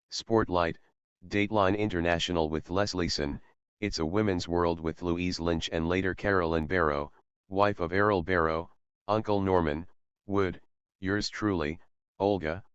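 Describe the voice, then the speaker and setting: A man's voice: deep voice, smooth. A man narrating a scripted list of old radio programmes as a documentary narrator. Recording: muffled, roomy echoing recording.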